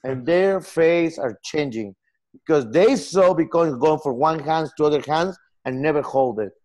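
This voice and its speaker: A man in his forties from Peru, speaking English with a Peruvian Spanish accent, earnest and halting in a casual video-call interview. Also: on the high side, darkish tone. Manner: earnest, gestures a lot, halting non-native phrasing. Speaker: software company founder. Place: home room with lamp and armchair.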